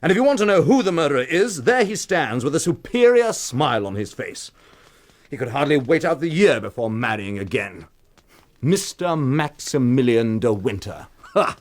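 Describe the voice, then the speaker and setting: A man playing a tipsy, insinuating blackmailer in a radio play. His medium-pitched voice swings freely up and down as he needles his listener with mock-friendly familiarity. He is cocky, sneering and theatrical. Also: sing-song, normal speed, close to an everyday voice. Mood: sneering, defiant. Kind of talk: radio drama acting.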